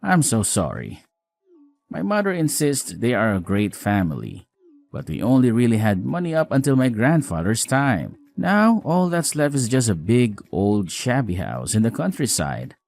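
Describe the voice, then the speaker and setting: A man playing a weary, apologetic newlywed husband in a scripted audio drama, earnest, expressive, caring and frank. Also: mid-range voice, normal speed, gravelly, soft background music. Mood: resigned.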